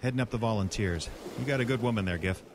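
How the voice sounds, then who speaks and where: An actor playing a gruff, harried small-town chief in a horror audio drama, commanding and exasperated. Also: male voice, on the low side, fast, noisy background, lightly acted. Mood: alarmed.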